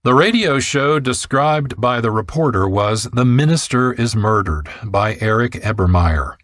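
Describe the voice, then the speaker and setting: A man narrating a scripted radio documentary in a measured, formal way. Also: medium pitch, animated, fairly bright tone, no room sound, clean studio sound.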